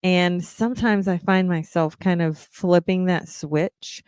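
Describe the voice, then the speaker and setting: A woman's voice: on the low side, steady tone, takes it slowly, a little mellow, clear, resonant tone, dry recording, studio quality. A woman hosting her own podcast, talking earnestly and encouragingly to young musicians, with frequent ums. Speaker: podcast host, singer. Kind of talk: reflective solo podcast talk.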